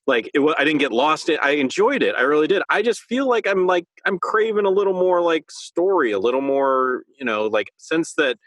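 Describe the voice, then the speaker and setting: A man's voice: a little high, fairly quick, few pauses, a bit bright, dry recording. A man co-hosting a gaming podcast in casual, chatty banter. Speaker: podcast co-host.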